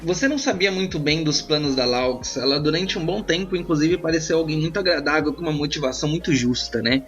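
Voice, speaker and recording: A man acting as game master, improvising roleplay narration in an informal, conversational, halting way. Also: on the high side, a bit bright. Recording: slightly muffled, band-limited audio with music. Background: background music.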